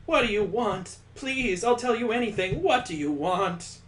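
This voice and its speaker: A woman's voice: lively intonation, unhurried, lightly acted. A man voicing a terrified middle-aged mother who stammers and pleads for her life in a visual novel reading. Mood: pleading. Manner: pleading, stammering, breaking into high-pitched wails. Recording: roomy room echo.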